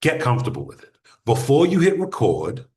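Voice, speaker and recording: Man, mid-pitched, workshop presenter; deliberate, pause-heavy, conversational teaching delivery. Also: wildly swooping pitch, darkish tone. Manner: deliberate, uses pauses and restarts; addresses audience directly with practical advice. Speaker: podcasting workshop speaker.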